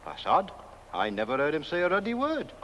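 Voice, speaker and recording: An actor with a British accent playing a self-important, dim village constable in a comic film, rambling and pompous. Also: male voice, a little high, huge pitch swings, brisk, a bit bright, muffled recording, slight background noise. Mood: musing. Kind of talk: 1970s comic film acting.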